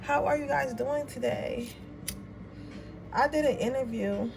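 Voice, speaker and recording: Raspy young woman, chatty tarot reader. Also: high-pitched, unhurried. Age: 20s. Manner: chatty, rambling and informal, with slang. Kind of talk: casual tarot reading chat. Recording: noisy room, voice a bit far from mic.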